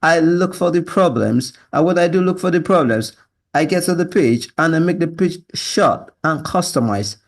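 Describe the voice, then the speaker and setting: A Yoruba-speaking Nigerian man with a learned British accent, pitching himself as a salesman in an assertive monologue, often tagging statements with a questioning yeah or right. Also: lively intonation, moderate pace. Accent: learned British with Nigerian influence. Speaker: sales closer, job applicant. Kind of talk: self-presentation monologue to camera.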